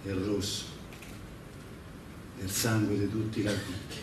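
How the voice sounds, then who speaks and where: A man reciting patriotic verse on stage in a solemn, declamatory manner, with pauses between phrases. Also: lowish voice, flat delivery, background noise. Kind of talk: theatrical patriotic poetry recitation. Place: large echoing performance venue, live show. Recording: very echoey hall, distant mic, music.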